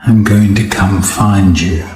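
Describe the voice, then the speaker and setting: Game role: male actor as a somber undead son, lowish voice, extremely slow and solemn delivery, echoing room. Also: steady tone, darkish tone. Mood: haunted, resolute. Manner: solemn, deliberate, with long pauses. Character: somber undead son addressing his father. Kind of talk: slow dramatic game monologue. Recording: roomy, echoing voice recording.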